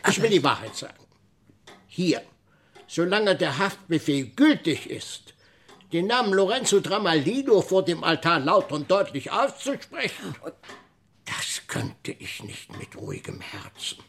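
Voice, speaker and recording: An actor playing a timid, evasive older village priest in a radio drama, wordy and full of excuses. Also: male voice, sits high, very animated, unhurried, fairly bright tone, a little hiss or hum, full character voice. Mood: fretful. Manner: fretful, wordy, excuse-making. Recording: radio play with music behind.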